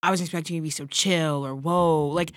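A woman's voice: low-pitched, steady tone, a little fast, no echo. A woman hosting a casual podcast conversation, telling stories emphatically and repeating phrases for effect. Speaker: podcast host. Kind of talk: casual conversation, animated storytelling.

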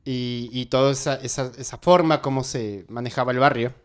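A man with a Quito accent hosting a relaxed conversational interview. He talks in a loose, reflective way and keeps asking whether his guest is following him. His voice sits in an ordinary middle pitch for a man. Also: normal speed, smooth. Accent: Quito Ecuadorian Spanish. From Ecuador. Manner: casual, reflective, keeps checking in with "¿cachas?". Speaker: host, musician.

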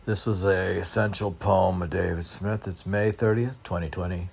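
A man reading his own spiritual poems aloud in a halting way, with phrases that rise emphatically. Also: low-pitched, steady tone, creaky, slight background noise. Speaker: poet reading own work. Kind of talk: recited spiritual poetry reading.

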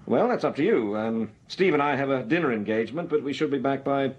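An actor playing an urbane, wry amateur detective in a radio drama. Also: male voice, mid-range voice, expressive, a little fast, husky. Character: suave amateur detective, dry wit.

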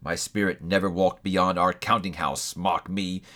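A man's voice: deep voice, steady tone, sharp tone, voice pushed down. A man voicing a mournful old ghost in chains. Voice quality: slow, heavy, mournful delivery. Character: doomed old male ghost, solemn and grieving.

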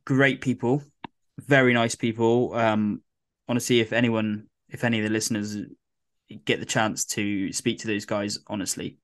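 A man co-hosting a podcast in casual chat, his voice a bit croaky, rambling with lots of fillers and restarts. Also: fairly low pitch, little pitch movement, normal speed. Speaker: podcast co-host.

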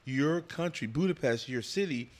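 A man's voice: a little high, animated, moderate pace. A man guesting on a casual podcast, talking in long, rambling turns. He is blunt about his subject, restarts and repeats words as he thinks, and laughs now and then mid-story. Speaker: interview guest.

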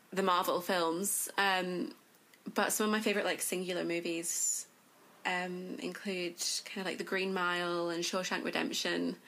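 A woman's voice: lowish voice, vocal fry, a bit bright, poor audio. A young woman chatting casually as an interview guest, hesitant and a little giggly. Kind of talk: casual chat.

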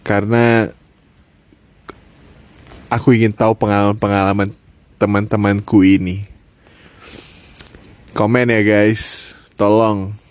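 A man's voice: fairly low pitch, flat delivery, slow, pauses often. Joking man with a thick Javanese-sounding accent. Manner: joking, laughs often at own puns. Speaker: podcast co-host, husband. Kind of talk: casual couple podcast banter.

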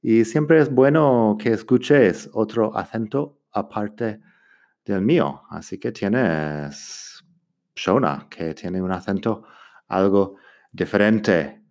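A man hosting an English-learning podcast, giving an upbeat, promotional introduction. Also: slow. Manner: lively, promotional, swooping up in pitch. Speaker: podcast host, English teacher. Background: faint music.

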